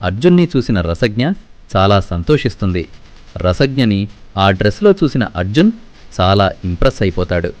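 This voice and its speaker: A man's voice: fairly low pitch, big pitch swings, moderate pace. A man reading scripted storybook narration.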